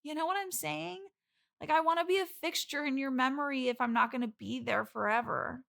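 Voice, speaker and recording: A woman's voice: high-pitched, brisk, gravelly, fairly bright tone. A woman playing a needy, lonely bridesmaid desperate for friends, rambling earnestly as a guest on an improvised comedy podcast. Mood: earnest, wistful.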